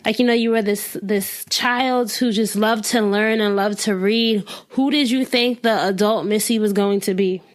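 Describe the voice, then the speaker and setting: A woman's voice: mid-range voice, steady tone, moderate pace, hardly any pauses. A woman hosting a podcast, reading a promo and then interviewing a guest in an upbeat, friendly way. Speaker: podcast host.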